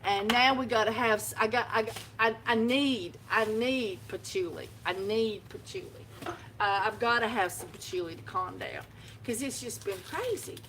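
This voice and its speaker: A woman's voice: fairly high pitch, moderate pace, noisy background. A woman, hosting a casual live video from her own shop and telling a rambling story with frequent laughter. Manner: rambling, chatty storyteller who laughs often. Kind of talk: casual live-stream chat. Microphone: phone live video.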